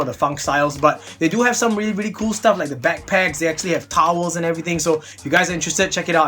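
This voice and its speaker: Young male vlogger, slightly high voice, chatty and upbeat, desk camera, faint music under. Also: a bit bright. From Singapore. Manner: chatty and upbeat, repeats "really, really". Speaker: host of a toy blaster review channel. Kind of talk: casual product review vlog. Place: small indoor room, seated at a desk.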